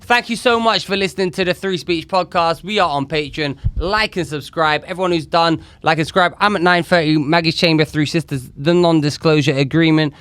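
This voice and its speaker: A man's voice: fairly high pitch, a little fast, few pauses, a bit bright, clean tone, dry recording. A man co-hosting a casual comedy podcast, telling a story in a rambling, stop-start way full of restarts. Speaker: podcast co-host.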